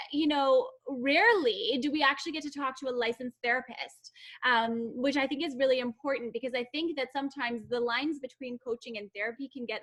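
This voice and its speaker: A woman's voice: sits high, a bit bright. A young woman hosting a podcast, speaking with upbeat enthusiasm and laughing often. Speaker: podcast host. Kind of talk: podcast promo and interview.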